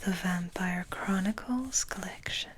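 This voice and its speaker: Slow whispering woman, ASMR host. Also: fairly low pitch. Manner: slow, hushed whispering with soft pauses. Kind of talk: whispered, soft-spoken ASMR. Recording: noticeable background noise.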